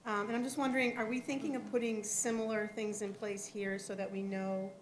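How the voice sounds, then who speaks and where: A woman commissioner raising questions at a public planning meeting, a little hoarse and thinking aloud with restarts. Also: medium pitch, level tone, a little fast, echoey room, slight background noise. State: losing her voice.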